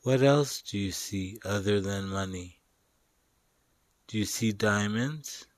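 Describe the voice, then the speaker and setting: Guy doing guided meditation, really really slow, long pauses, dry close sound. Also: fairly low pitch, no echo. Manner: slow, deliberate, short phrases with long pauses.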